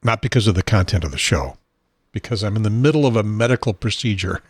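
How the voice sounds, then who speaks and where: A man hosting his own talk show from a home studio desk, rambling and self-deprecating, with a husky, unsteady voice and frequent throat clearing. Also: fairly low pitch, quick pace, no echo. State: has a probe in his nose. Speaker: podcast host.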